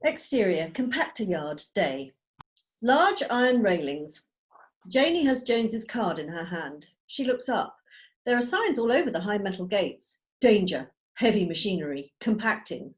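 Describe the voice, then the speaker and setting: A woman with a mid-pitched voice reads stage directions as narrator. It is scripted narration, recorded over a video call. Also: very animated, a little slow. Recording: video call table read.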